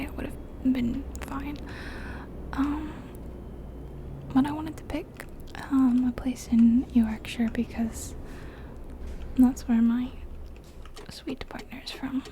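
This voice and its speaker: A woman's voice: high voice, steady tone, takes it slowly, long pauses, warm tone, slight background noise. A woman whispering her way through a game in a chatty, rambling ASMR style. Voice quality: breathy unvoiced whisper. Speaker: ASMR gaming creator. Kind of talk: whispered ASMR gameplay commentary.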